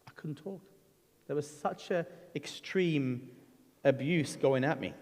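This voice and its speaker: A man's voice: fairly high pitch, slow, darkish tone. A middle-aged man from Lebanon preaching a sermon, telling his own story in an earnest, conversational way with frequent ums and restarts. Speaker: guest preacher. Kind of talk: sermon with personal testimony. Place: church hall. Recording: church hall reverb.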